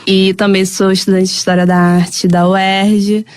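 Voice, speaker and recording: A woman's voice: mid-range voice, level tone, unhurried, darkish tone. A woman, a poet and photographer speaking as an interview guest. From Rio de Janeiro. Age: 20s.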